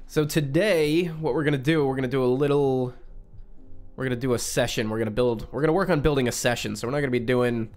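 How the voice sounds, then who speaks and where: A young man from Western New York talks casually to his viewers, his mid-range voice a little raspy and congested from a lingering cold. He is chatty and relaxed, reading out the chat with friendly asides. Faint music plays behind him. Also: medium pitch, fast. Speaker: live stream host. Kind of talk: casual live stream chat.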